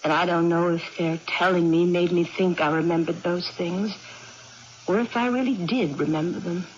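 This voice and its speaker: A woman acting in a 1980s radio drama as a practical, contented aging aunt who muses deliberately and matter-of-factly, with pauses. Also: fairly low pitch, fairly even intonation, darkish tone, natural voice. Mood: musing, resigned. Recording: dull, noisy old radio broadcast.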